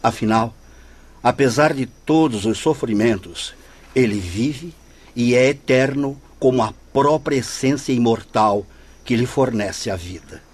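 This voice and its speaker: A man acting in a radio drama as a paternal spirit leader who exhorts in a solemn, deliberate way. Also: mid-range voice, natural voice. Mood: solemn exhortation.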